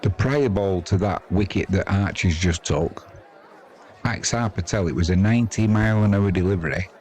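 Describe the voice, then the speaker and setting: A man's voice: deep voice, moderate pace, a little mellow. An older British man giving casual ball-by-ball cricket commentary in a chatty, rambling, colloquial way. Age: 50s or older. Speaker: sports commentator. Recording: crowd noise behind the voice.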